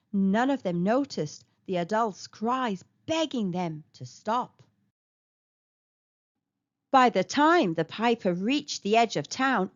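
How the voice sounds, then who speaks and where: A woman reading a children's story aloud in an expressive storytelling manner. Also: fairly high pitch. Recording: dull lo-fi recording.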